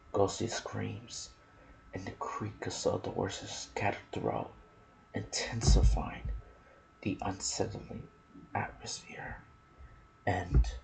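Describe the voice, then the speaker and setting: A man giving soft-spoken ASMR commentary on a video game, slipping into whispers and pausing between short phrases. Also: low voice, creaky, a little hiss or hum, low-quality recording. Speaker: gaming channel host.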